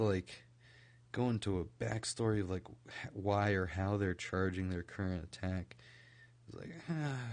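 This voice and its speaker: A young man from Idaho, rambling casually on a late-night stream, full of "like" fillers, his voice a little scratchy from a recent cold and kept quieter than usual. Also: fairly low pitch. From Idaho, USA. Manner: rambling, many "like" fillers and restarts. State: slightly scratchy from recent illness.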